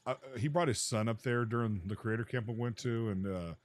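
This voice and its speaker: A man's voice: mid-range voice, a little fast. A man hosting a casual interview show, talking in a laid-back way full of fillers. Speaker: show host.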